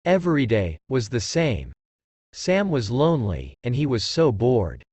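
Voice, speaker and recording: A man's voice: medium pitch, huge pitch swings, a little slow, a little mellow, breathy edge. A man narrating a scripted story for children.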